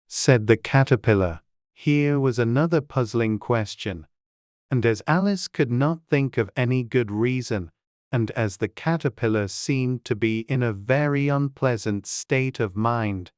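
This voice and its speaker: A man's voice: medium pitch, measured pace, a little mellow, smooth, no echo. A man reading scripted audiobook narration as the storyteller, close to the microphone.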